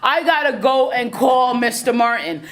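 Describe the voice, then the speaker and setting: A woman hosts an interview show with an energetic, showy delivery. She leans on emphatic phrases and talks straight to her audience. Her voice sits fairly high. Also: moderate pace. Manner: showy, emphatic, repeats "ladies and gentlemen". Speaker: host.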